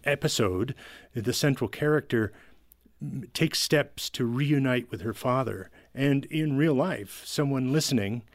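A man's voice: medium pitch, measured pace, no echo. Male interview guest, mid-range voice, conversational, dry sound with no room. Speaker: guest, audio drama writer.

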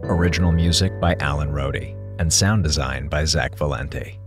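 A man's voice: very deep voice, normal speed. A man, a producer reading scripted podcast credits and addressing listeners. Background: music.

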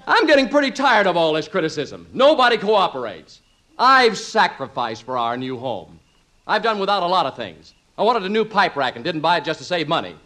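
Flustered husband, highish lively voice. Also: male voice, brisk, full character voice. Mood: self-pitying. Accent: American. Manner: stammering, exasperated, self-pitying. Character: flustered, stubborn, put-upon suburban husband and father. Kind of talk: 1950s radio comedy acting. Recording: noisy old 1950s radio recording.

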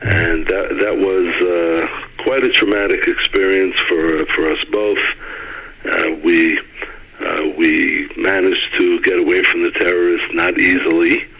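Deep husky middle-aged man on phone. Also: little pitch movement, a little slow, bright, forceful tone, highs cut off. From United States (Brooklyn). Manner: earnest, repeats words when starting sentences. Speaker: guest, former mayor. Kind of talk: interview over the phone. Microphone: phone line.

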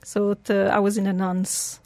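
A woman's voice: mid-range voice, completely flat delivery, normal speed, a little mellow, no room sound. A woman, telling her life story earnestly as an interview guest. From Portugal. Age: 40s. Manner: earnest, storytelling, slightly broken English phrasing.

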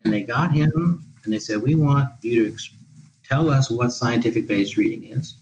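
A man's voice: mid-range voice, normal speed, a little mellow, lo-fi sound, slight background noise. A man who is a guest expert on an education podcast, talking in long, discursive answers with many restarts. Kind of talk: podcast interview. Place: living in Portugal.

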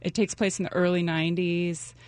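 A woman's voice: on the low side, level tone, fairly quick, no echo. A middle-aged woman talking about her novel as a guest in a casual interview, chatty and full of fillers. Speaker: guest author.

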